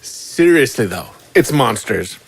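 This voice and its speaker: A man's voice: mid-range voice, sing-song, takes it slowly, breathy edge, exaggerated voice. A man voicing a grim, earnest, alarmist toy in an audio drama. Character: alarmist toy blaming monsters.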